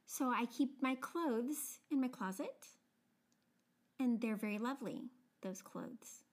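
A woman's voice: a little high, takes it slowly. An American woman with a subtle regional accent, co-hosting a podcast in a friendly, encouraging way and laughing easily. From United States. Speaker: podcast co-host. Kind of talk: casual podcast chat.

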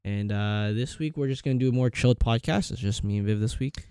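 A man's voice: mid-range voice, a little fast, dark tone, smooth, no room sound. A young man co-hosting a podcast in loose, rambling, jokey casual chat. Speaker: podcast co-host.

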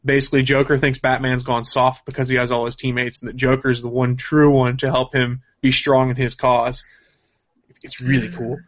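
A man's voice: medium pitch, level tone, moderate pace. Casual man co-hosting a geeky show. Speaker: co-host of a gaming and comics news show. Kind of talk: casual unscripted chat.

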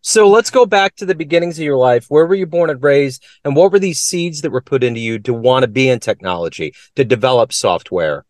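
A man's voice: mid-range voice, expressive, brisk, few pauses, dry recording, clean studio sound. A man hosting an interview, asking curious, friendly questions and lifting his voice on each question. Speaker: interviewer and show host. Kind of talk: conversational interview.

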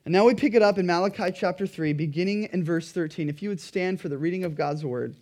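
A pastor preaching a sermon in an earnest, emphatic way, his throat a little dry and raspy. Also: high-pitched, fast, darkish tone.

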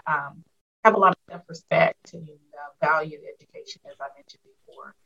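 Husky-voiced woman in her fifties. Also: deep voice, normal speed, lo-fi sound. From Arkansas. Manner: warm, reflective storyteller with frequent fillers. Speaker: school superintendent, guest. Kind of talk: casual interview over a call.

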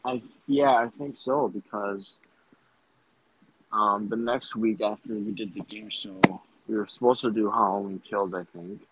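A young man co-hosting a podcast, high and mellow, answering in short, relaxed replies. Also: a little slow, slightly rough, poor audio. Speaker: podcast co-host. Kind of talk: casual chat.

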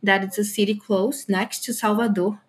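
A woman's voice: medium pitch, steady tone, moderate pace. Earnest Brazilian teen girl. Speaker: podcast guest. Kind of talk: interview answers.

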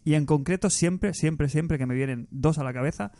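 A man's voice: on the high side, moderate pace, mellow, no room sound, clean studio sound. A man, talking in a casual chat, reflective and full of restarts. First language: Spanish. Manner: reflective, restarts often, emotional recalling. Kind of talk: casual chat about video games.

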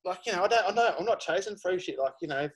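A man's voice: sits high, quick pace, husky. Man in his thirties, rambling. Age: 30s. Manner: relaxed, rambling, lots of fillers. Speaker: guest photographer. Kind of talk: casual podcast chat.

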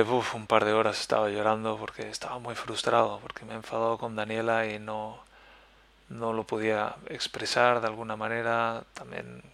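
A man from Spain with a Spanish accent, hosting a podcast and talking softly and haltingly about his feelings. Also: fairly low pitch, vocal fry, dry recording. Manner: soft-spoken, introspective, halting. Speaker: podcast host. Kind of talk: personal reflective monologue. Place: living in Germany.

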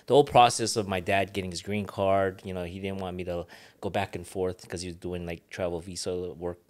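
A man's voice: deep voice, flat delivery, a little fast, a little mellow. A man in his forties whose first language is Ilocano, telling stories in a laid-back, joking way with lots of fillers. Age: 40s. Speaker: podcast guest, retired boxer. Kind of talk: casual interview chat.

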